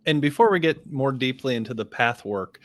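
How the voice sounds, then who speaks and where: A man's voice: medium pitch, animated, brisk. A man hosting a podcast interview, asking casual, rambling questions full of "you know" and "um". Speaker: podcast host.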